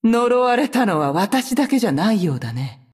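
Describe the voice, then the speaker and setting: A woman voicing a proud, vengeful sorceress from a video game, speaking in a cold, haughty, deliberate manner. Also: a little high, sing-song, darkish tone, breathy edge. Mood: cold, scornful. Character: proud, vengeful sorceress who betrayed her cursed clan. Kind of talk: video game character voice lines in Japanese.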